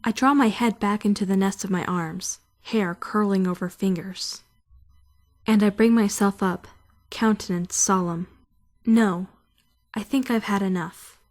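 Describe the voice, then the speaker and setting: A woman's voice: mid-range voice, fairly even intonation, measured pace, a little mellow, natural voice. A woman playing an anxious, brooding fourteen-year-old schoolgirl who narrates her self-doubting thoughts in a visual novel fandub. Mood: pensive, uneasy. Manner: introspective, questioning, self-critical. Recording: music behind the voice.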